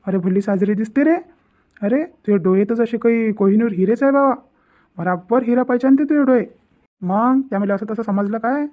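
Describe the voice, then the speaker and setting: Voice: mid-range voice, fairly quick, natural voice. A performer voicing a cheeky, grumbling young village lad in a comedy cartoon, with a Vidarbha Marathi accent and a habit of repeating himself. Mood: cheeky, smitten. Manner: muttering complaints, repeating himself. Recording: muffled, phone-like lo-fi sound.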